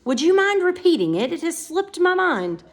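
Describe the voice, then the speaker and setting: A woman's voice: extremely high pitch, very animated, normal speed, voice pushed up, heavily acted. Woman doing a muddled, rambling little man. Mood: rambling, confiding. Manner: rambling, confused, cheerfully evasive.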